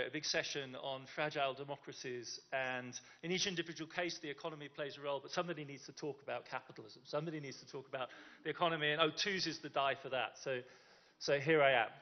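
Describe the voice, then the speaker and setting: A man's voice: on the high side, fast. A man giving a conference talk in a chatty, self-deprecating way, with frequent restarts. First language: English. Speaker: conference speaker. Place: conference in Germany. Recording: dull lo-fi sound.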